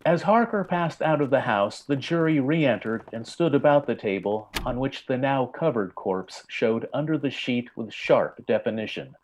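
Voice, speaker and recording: A male narrator with a mid-range voice tells a scripted story. His delivery is measured and grave, in the manner of old time radio drama. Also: mid-range voice, animated, slight background noise, rough recording.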